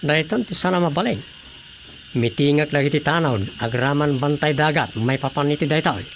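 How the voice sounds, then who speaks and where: An actor playing an officious, assertive village councilor in an Ilocano radio drama comedy. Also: male voice, fairly high pitch, moderate pace, natural voice. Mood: determined. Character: officious male village councilor, determined and meddling.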